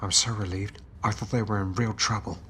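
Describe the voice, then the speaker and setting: An actor playing a brave, modest army sergeant in an audio drama, plain-spoken, practical and matey. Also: male voice, fairly low pitch, fairly even intonation, fairly quick, bright, forceful tone. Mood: modest, relieved. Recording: clearly noisy background.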